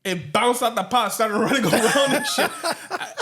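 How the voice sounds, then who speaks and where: A man's voice: very high voice, moderate pace, slight background noise. A young man co-hosting a podcast, telling stories in casual chat with frequent laughter and Spanish mixed into his English. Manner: laughs often, slips into Spanish, animated storytelling. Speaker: podcast co-host.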